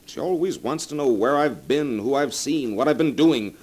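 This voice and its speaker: An actor playing a weary, guilt-ridden writer husband, earnest and melodramatic, in a 1940s radio drama. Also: male voice, medium pitch, expressive, moderate pace, a little mellow, natural voice. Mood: desperate, exasperated. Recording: vintage 1940s radio recording.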